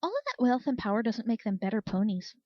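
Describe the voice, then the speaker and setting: A kind young earth pony mare read by a woman in a storybook tale. She speaks gently and earnestly with a steady temper, in a dry, somewhat dull recording. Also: a little high, fast, no echo. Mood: earnest, bitter. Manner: soft, earnest.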